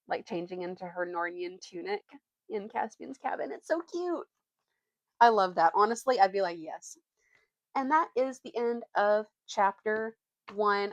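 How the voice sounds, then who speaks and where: A woman's voice: mid-range voice, dry recording. Young woman reading and chatting, dry sound. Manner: informal, youthful phrasing. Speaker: vlogger reading books aloud. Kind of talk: storybook narration and casual chat.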